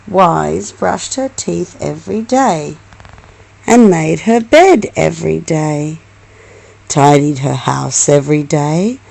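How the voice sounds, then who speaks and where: A woman reading a storybook aloud as a mother to her young child, expressive and affectionate, with coaxing asides. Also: fairly low pitch, gravelly, low-quality recording.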